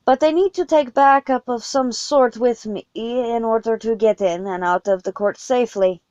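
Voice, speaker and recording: A woman voicing a commanding, deliberate male vampire leader in a fantasy story, with measured formal speech. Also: on the high side, darkish tone, clean tone, no room sound, lo-fi sound, voice pushed up, lightly acted. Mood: grave, resolute.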